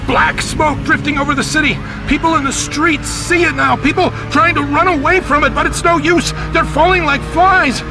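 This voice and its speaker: A man's voice: extremely high pitch, fairly even intonation, moderate pace, hardly any pauses, music in the background, poor audio. An actor playing a male news reporter giving a dramatic eyewitness account in short phrases for a radio drama. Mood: panicked, shouting.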